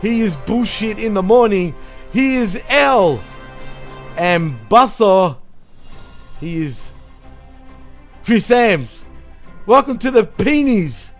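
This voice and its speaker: A man's voice: high voice, expressive, slow pace, long pauses, soft background music. A man co-hosting a casual online awards show, joking, laughing and swearing freely. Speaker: co-host.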